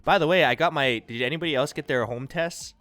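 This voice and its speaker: A congested, nasal-sounding man from the United States hosting a livestream, chatting casually and rambling through viewers' comments with a relaxed, self-deprecating manner. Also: on the high side, fast talker, no echo, faint music behind. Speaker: livestream host. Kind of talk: casual chat reading and answering viewer chat.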